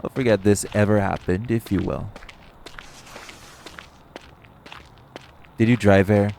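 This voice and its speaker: A man's voice: lowish voice, fairly even intonation, fairly quick, creaky, darkish tone, a little hiss or hum. A man playing a cocky, flirtatious vampire who banters sardonically and swears in an audio roleplay. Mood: bantering. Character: cocky, teasing, flirtatious male vampire, long-lived yet youthful.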